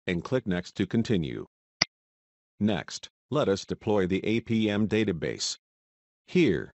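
A man reading a scripted instructional narration in a measured, step-by-step manner, as a software tutorial voice-over. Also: lowish voice, no echo.

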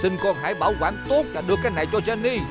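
A man's voice: high-pitched, lively intonation, rapid-fire, over loud music. A man with a southern Vietnamese accent voicing an affectionate, wistful old grandfather in a children's cartoon. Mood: tender, wistful. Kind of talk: dubbed children's cartoon acting.

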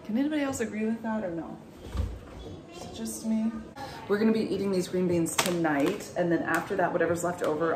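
A woman in her thirties chatting to her viewers while she puts away groceries in her home kitchen, filmed on a phone. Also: on the low side. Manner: chatty, asks viewers questions. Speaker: vlogger. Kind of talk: casual chat to camera. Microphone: handheld phone, often set down at a distance. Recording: echoey kitchen, mic far off, noisy.

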